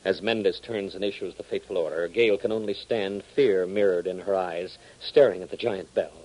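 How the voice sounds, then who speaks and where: A man's voice: deep voice, normal speed, darkish tone, poor audio. An American man announcing and narrating a 1940s radio serial in a dramatic, emphatic scripted style. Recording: old 1940s radio transcription.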